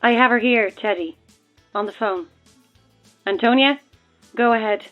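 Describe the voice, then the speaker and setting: A woman's voice: fairly high pitch, a little slow, lo-fi sound, faint music behind, close to an everyday voice. An actress playing an anxious, snappy woman in an audio horror drama, urgent and defensive.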